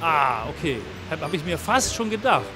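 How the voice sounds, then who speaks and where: A man's voice: on the high side, big pitch swings, moderate pace. A middle-aged man, interviewing children as an encouraging, upbeat street reporter. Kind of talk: friendly vox-pop interviewing of children. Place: outdoors on a city street in rainy weather. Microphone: handheld mic with windscreen. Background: music, street and traffic sounds.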